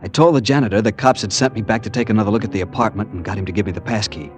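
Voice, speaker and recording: A man's voice: on the low side, rapid-fire, close to an everyday voice. An actor playing a desperate, earnest young American man, narrating and pleading in 1940s radio suspense style. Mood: hushed suspense. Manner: earnest, nervy, dramatic. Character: anxious, desperate young man hunting his missing fiancee. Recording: vintage radio drama, music behind.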